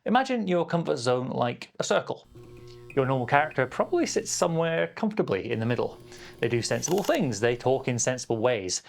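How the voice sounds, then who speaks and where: A man's voice: medium pitch, big pitch swings, brisk, gravelly, slight background noise. A man presenting a scripted explainer as a game master, with a playful, emphatic and encouraging delivery. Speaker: game master and video host.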